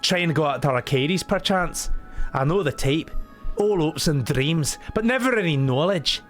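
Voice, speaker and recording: A man's voice: fairly high pitch, animated, moderate pace, close to an everyday voice. A man voicing a preachy townsman in a game with an Irish accent, lecturing a young hopeful. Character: know-it-all townsman lecturing a youth. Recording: faint game music behind.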